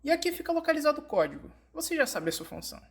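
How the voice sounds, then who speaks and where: A woman's voice: high voice, very animated, very fast, creaky. A woman with a Brazilian Portuguese accent reading scripted instructional narration, explaining directly to the listener as in a fan-dubbed tutorial.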